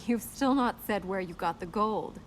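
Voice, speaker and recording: A woman's voice: mid-range voice, normal speed, a little hiss or hum, close to an everyday voice. A woman playing a determined young princess in a video game, serious and guarded with a dry, sarcastic wit. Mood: challenging, wry. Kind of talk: video game voice acting, conversational banter.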